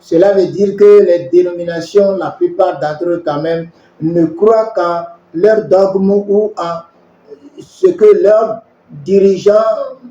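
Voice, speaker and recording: A man preaching a sermon in measured phrases, pausing for his interpreter. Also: sits high, fairly even intonation, warm tone. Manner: slow, measured preaching with pauses for translation. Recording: echoey, muffled church recording.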